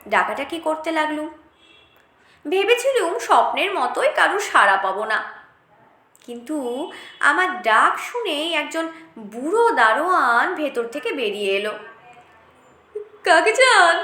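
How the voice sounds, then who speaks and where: A woman reading a story aloud in a dramatic, expressive first-person style with deliberate phrasing. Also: sits high, echoey room. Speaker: storyteller, audiobook narrator.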